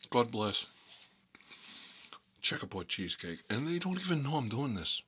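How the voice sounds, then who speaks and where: A man's voice: medium pitch, very animated, normal speed, fairly bright tone, slight background noise. A man playing a chatty, boastful American mob boss who rambles and repeats himself in a casual ASMR role-play monologue. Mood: chatty, satisfied. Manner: folksy, repetitive, rambling, emphatic. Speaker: ASMR storyteller playing a recurring character.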